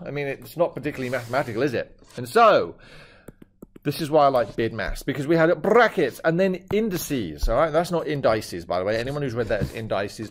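A man in his fifties with a British accent that is drifting toward Australian, teaching a maths lesson over a screen recording with jokey, chatty asides. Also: medium pitch. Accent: British, shifting toward Australian. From Britain.

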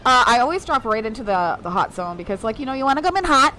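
Bubbly high-voiced woman game streamer. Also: very animated, quick pace, sharp tone, a little hiss or hum, poor audio. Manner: bubbly, laughs often, chatty asides to viewers. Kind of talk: casual live-stream chat while playing.

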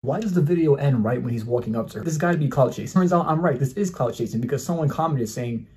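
Fast-talking brash young man. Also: medium pitch, lively intonation, darkish tone. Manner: brash, boastful, mocking. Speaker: commentary YouTuber. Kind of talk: casual reaction commentary. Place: small indoor room, plain wall.

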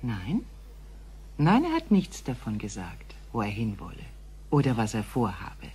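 An actress playing a composed female alien in a radio play, speaking formally and precisely with a husky voice. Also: rumbling low, huge pitch swings, measured pace, a little mellow, heavily acted. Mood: reserved. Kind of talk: radio drama acting.

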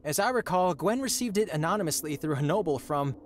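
An actor playing an earnest, bewildered young lord in a video game, incredulous and questioning. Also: male voice, on the high side, animated, a little fast, a little hiss or hum, close to an everyday voice. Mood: puzzled.

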